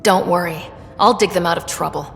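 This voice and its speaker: A woman's voice: fairly low pitch, a little fast, vocal fry, a bit bright, faint music behind. A woman playing a determined, wry human explorer in a sci-fi video game. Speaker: player character in a sci-fi game. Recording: long reverb, faint background noise.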